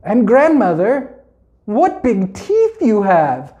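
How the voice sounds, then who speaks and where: A man's voice: sits high, huge pitch swings, slow pace, dark tone, pitched above the performer's own voice, heavily acted. A man voicing an innocent, trusting little girl from a fairy tale, sweet and naive. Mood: puzzled.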